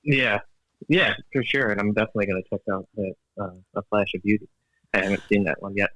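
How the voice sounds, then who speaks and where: A man's voice: on the low side, fast talker, bright, forceful tone, no echo, poor audio. A man talking as a guest author in a casual interview, thoughtful and hesitant, with many fillers and restarts and frequent laughs. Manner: calls himself an engineer, evidence-minded.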